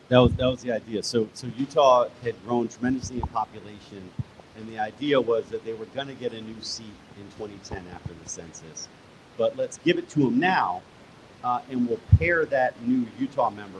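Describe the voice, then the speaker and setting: A man, the guest author at a live onstage conversation, explaining history in a measured, step-by-step way that builds emphasis. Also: on the low side, noisy background.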